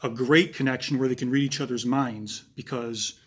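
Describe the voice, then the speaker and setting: A man with a raspy voice giving analytical true crime commentary, talking casually with pauses and fillers. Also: medium pitch, fairly even intonation.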